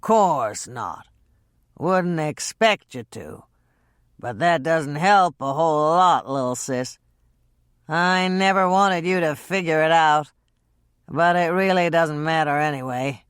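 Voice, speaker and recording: A woman's voice: lowish voice, a bit bright, no echo, studio quality, exaggerated voice. A woman doing a slurring drunk man. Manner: slow, slurred drunken drawl. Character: drunk, brooding adult man, an actor in a scene.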